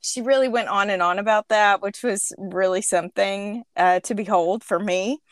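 A woman's voice: medium pitch, normal speed, dry recording, clean studio sound. A woman in her thirties hosting a podcast, telling a rambling, chatty story. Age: 30s. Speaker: podcast host. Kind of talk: casual chat.

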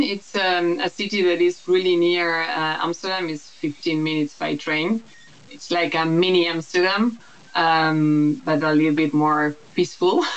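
A woman's voice: deep voice, lo-fi sound. A woman, talking as an interview guest in a reflective, casual way with frequent restarts. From Uruguay.

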